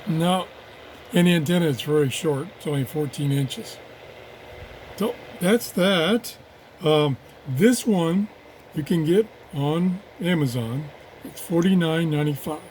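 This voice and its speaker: A man's voice: a little high, measured pace, a little mellow, low-quality recording. A man giving a casual, unscripted hands-on product demonstration as a reviewer, muttering to himself as he works in an office. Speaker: radio reviewer. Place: home office. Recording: clear background noise of radio static. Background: radio static and tuning noise.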